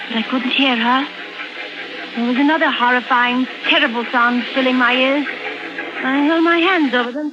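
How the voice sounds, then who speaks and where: A woman acting a fragile, tormented painter under psychiatric care in a 1950s radio drama, speaking in a halting, intense, dramatic way. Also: high voice, natural voice. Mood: feverish, haunted. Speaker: actor. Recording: dull vintage radio recording with music behind.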